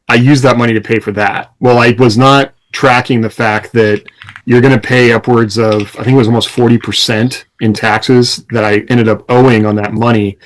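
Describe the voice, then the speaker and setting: A man's voice: mid-range voice, fairly bright tone, rough recording. A man talking casually as a podcast co-host, explaining things and reflecting through personal anecdotes.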